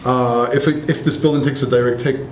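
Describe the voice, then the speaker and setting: A man in his thirties with an Israeli accent, explaining things in a relaxed, hesitant way with many fillers. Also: mid-range voice, fairly quick, slight background noise. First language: Hebrew. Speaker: interviewee. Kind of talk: casual explanatory talk. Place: bomb shelter in an apartment building. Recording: echoey concrete room.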